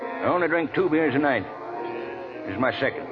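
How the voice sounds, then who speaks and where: A man's voice: on the high side, lively intonation, a little fast, close to an everyday voice. An old-time radio drama recording, muffled, with music behind. A male actor plays an older small-time barker, folksy and loyal, whose voice bobs up and down in a streetwise vernacular. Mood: guarded. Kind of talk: 1940s radio acting. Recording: dull old radio broadcast with background music.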